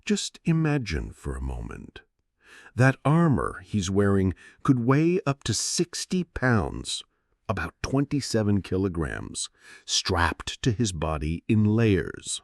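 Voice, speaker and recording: A man narrating a scripted history story for sleep, in a gentle, soothing storyteller's manner with dramatic pauses. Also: fairly low pitch, big pitch swings, creaky, no room sound, clean studio sound.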